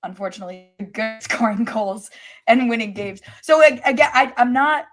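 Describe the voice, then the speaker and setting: A woman's voice: fairly high pitch, a little fast, faint music behind. A woman on a sports podcast panel, reasoning cautiously in casual debate.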